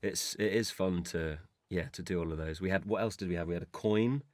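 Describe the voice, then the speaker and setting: A man's voice: low-pitched, brisk, no room sound, clean studio sound. A man chatting casually and playfully as a voice actor being interviewed, telling stories a little hesitantly. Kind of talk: casual chat answering listeners' questions.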